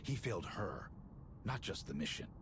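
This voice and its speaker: A solemn warrior sage in a video game. His voice is deep and moves expressively, with grave, formal phrasing. Ambient noise is clearly heard behind him. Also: sing-song, moderate pace. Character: solemn, wise male Mandalorian warrior elder and arbiter. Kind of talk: video game cutscene acting.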